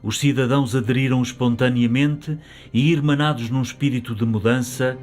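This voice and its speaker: A man's voice: mid-range voice, fairly even intonation, normal speed, darkish tone, faint music behind. A middle-aged man from Portugal narrating a documentary in the first person, in a reflective, nostalgic manner. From Portugal, later living in France.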